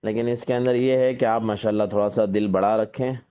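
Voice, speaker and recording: Male caller, lowish mellow voice, careful and halting, courteous and devout, on a muffled call recording. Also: fairly even intonation, clear, resonant tone. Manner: hesitant, tactful; respectful, pious phrasing. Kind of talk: polite phone conversation. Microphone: phone call.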